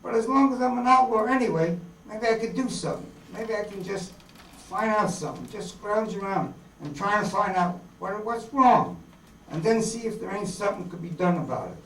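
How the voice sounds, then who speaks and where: A man's voice: sits high, lively intonation, moderate pace, fairly bright tone, pitched above the performer's own voice. A man playing an earnest, idealistic young farm-born outlaw in folksy rural dialect, in a staged radio drama reading. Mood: troubled resolve. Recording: roomy hall, distant mic, faint noise.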